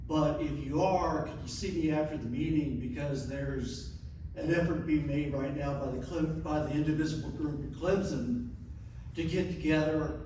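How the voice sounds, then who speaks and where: A man's voice: a little high, steady tone, moderate pace, a little mellow, slight background noise. A man giving a conversational update at a community meeting, recorded in a meeting room. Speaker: group member giving an update. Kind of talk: informal meeting report. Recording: echoey room, distant mic.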